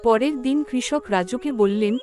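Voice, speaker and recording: A woman's voice: fairly high pitch, measured pace, mellow, faint music behind. A woman storyteller reading a scripted moral tale for a cartoon.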